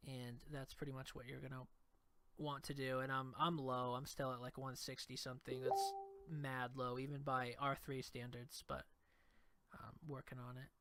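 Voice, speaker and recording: A man's voice: medium pitch, flat delivery, normal speed, fairly bright tone, slight background noise. A young man with a stuffed-up cold voice giving laid-back, rambling commentary over his gameplay. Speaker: gameplay video creator. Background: game music.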